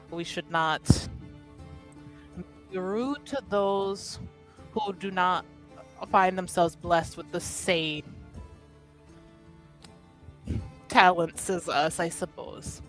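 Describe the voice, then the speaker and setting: A woman's voice: medium pitch, a bit bright. A woman playing a well-dressed young noblewoman in a tabletop game, snobbish yet earnest and polite, talking in a chatty, rambling way. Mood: haughty, chatty. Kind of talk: improvised in-character tabletop roleplay.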